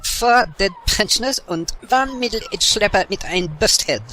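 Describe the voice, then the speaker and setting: A man's voice: sits high, a little slow. A man playing a callous villain with a German accent, mixing German words into his English, in a comic audio drama. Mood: smug, callous. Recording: loud music over the voice.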